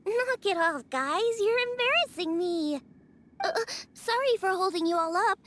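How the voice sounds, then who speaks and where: A woman's voice: very high voice, expressive, moderate pace, noisy background. A woman playing a bubbly, earnest teenage girl chef in a video game, perky and enthusiastic. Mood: flustered.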